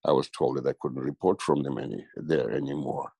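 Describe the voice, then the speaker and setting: Middle-aged guy from tanzania, super deep gravelly voice, dry close sound, lots of uh's and restarts. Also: warm tone, dry recording. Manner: halting, many fillers and restarts. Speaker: guest commentator. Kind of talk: political interview.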